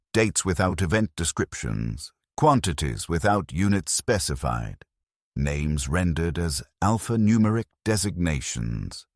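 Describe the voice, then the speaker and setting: Very deep-voiced male storyteller. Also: dry recording, clean studio sound. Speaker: narrator. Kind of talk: scripted first-person story narration.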